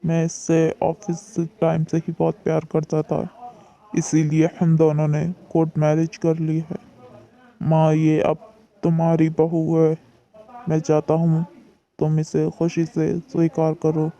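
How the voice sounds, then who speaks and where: A woman voicing a young husband who gently pleads with his mother and reassures his wife, in a low, gravelly put-on voice. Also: completely flat delivery, unhurried. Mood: pleading. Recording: dull lo-fi recording.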